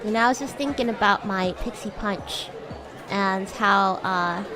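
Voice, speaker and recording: A woman's voice: medium pitch, measured pace, over music, a crowd in the background, lightly acted, low-quality recording. A woman streamer voicing a bratty, haughty yet sweet young demon classmate in improvised, teasing roleplay chat. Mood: chatty, playful. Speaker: streamer, VTuber host.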